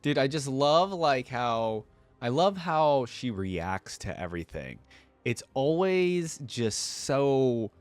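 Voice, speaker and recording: A man's voice: medium pitch, sing-song, takes it slowly, no echo, faint music behind. A man giving casual, chatty commentary as a reaction video host. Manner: chatty, easily amused.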